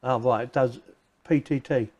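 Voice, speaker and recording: A man's voice: medium pitch, steady tone, moderate pace, lo-fi sound, background noise. A man presenting a casual hands-on gadget unboxing, chatting informally and thinking aloud as he goes. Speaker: video presenter reviewing gadgets.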